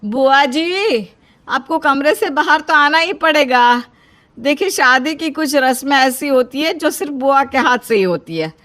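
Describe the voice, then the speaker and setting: Woman as an exasperated, high-voiced mother. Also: animated, measured pace, a bit bright. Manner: scolding, pleading. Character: exasperated middle-aged mother of the bride, bossy, worried.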